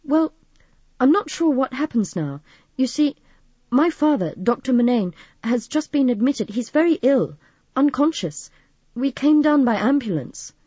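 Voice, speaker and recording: Anxious, courteous young man played by a female narrator, earnest and mellow, storybook reading. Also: high voice, big pitch swings, a little slow, husky, no echo, highs cut off, pitched above the performer's own voice, exaggerated voice. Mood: hesitant, polite. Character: worried, polite young man with a sick father.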